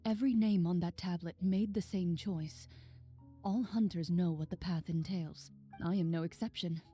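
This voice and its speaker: A woman playing a composed, stoic young champion duelist in a video game, speaking in a measured, formal and reserved way. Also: fairly low pitch, dark tone, soft background music, close to an everyday voice. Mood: firm.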